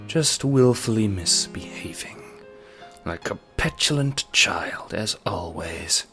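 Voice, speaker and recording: A man voicing a stern, commanding queen in low, clipped, husky tones, in a fan audio drama. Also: lively intonation, slow pace, bright, forceful tone, soft background music, heavily acted.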